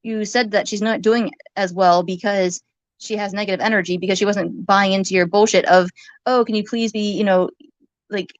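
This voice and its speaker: A woman's voice: mid-range voice, steady tone, moderate pace, dry recording. A woman in a heated, informal argument, talking in an accusatory, rambling way and sometimes swearing. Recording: muffled lo-fi audio.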